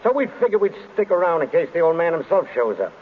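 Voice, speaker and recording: An actor playing a gruff, suspicious homicide inspector who questions bluntly in plain street grammar, in 1930s radio drama style. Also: male voice, on the high side, big pitch swings, fast. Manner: blunt, interrogating, ungrammatical. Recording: tinny, noisy vintage radio recording.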